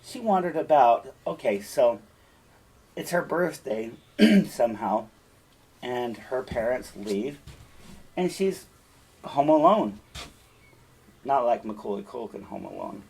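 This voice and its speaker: A man speaks slowly in a husky, mid-pitched voice. He has the manner of a teacher sharing a story, deliberate and emphatic, addressing his listeners directly as he reads and explains. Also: sing-song, a little mellow, low-quality recording. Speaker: teacher reading and explaining a fairytale. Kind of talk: storytelling read-aloud with explanatory asides.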